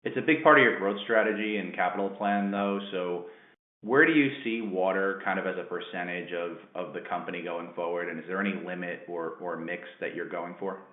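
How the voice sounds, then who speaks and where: Low-voiced man on a roomy phone line. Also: a little fast. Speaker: financial analyst caller. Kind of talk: conversational business questioning.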